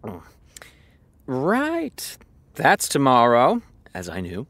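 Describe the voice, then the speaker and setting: An actor playing a sardonic, defensive television writer with a dry, theatrical way of talking, in naturalistic audio drama style. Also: male voice, mid-range voice, huge pitch swings, very slow, fairly bright tone, no echo, heavily acted. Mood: bluffing, indignant. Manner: dry, sarcastic, dramatic emphasis. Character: sardonic, defensive male TV showrunner, harried and theatrical.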